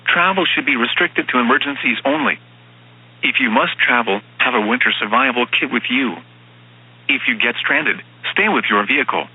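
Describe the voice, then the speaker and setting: A synthetic man's voice reading formal emergency weather bulletins as an automated announcer, in a flat, stilted manner, with lo-fi radio sound. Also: medium pitch, moderate pace, breathy edge. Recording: dull, band-limited weather radio broadcast sound.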